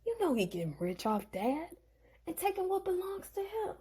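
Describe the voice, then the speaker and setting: A woman's voice: very high voice, sing-song, moderate pace, mellow, pitched above the performer's own voice. A woman voicing a resentful young man who grumbles about his inheritance.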